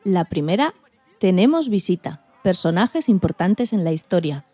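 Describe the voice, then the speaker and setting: Female co-host, dark relaxed tone, medium pitch, dry close sound, programme presentation. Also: normal speed, dry recording, faint music behind.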